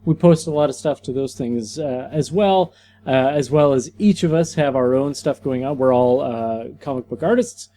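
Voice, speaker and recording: Relaxed man plugging his podcast. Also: mid-range voice, normal speed, a little hiss or hum. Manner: relaxed, fillers while plugging links. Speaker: podcast co-host. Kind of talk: casual podcast chat.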